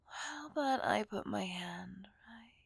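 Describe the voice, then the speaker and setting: A seductive, possessive villainess played by a woman whispers in a breathy voice with a gravelly edge. She is teasing and dominant, drawing her words out slowly as if toying with a captive in an ASMR roleplay. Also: medium pitch, expressive, dry recording, exaggerated voice. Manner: teasing, dominant, drawn-out delivery. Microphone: close microphone, dry sound.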